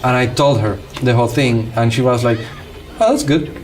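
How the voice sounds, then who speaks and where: A man's voice: mid-range voice, normal speed, low-quality recording. A man from Cuba with a Cuban accent and Spanish as his first language, a film director talking casually and animatedly in an interview.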